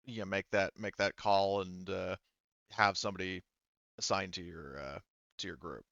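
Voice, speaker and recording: A man's voice: low-pitched, steady tone, moderate pace, creaky, a bit bright, dry recording, dull audio. A man running a tabletop roleplaying game as game master, explaining and narrating in a hesitant, rambling way.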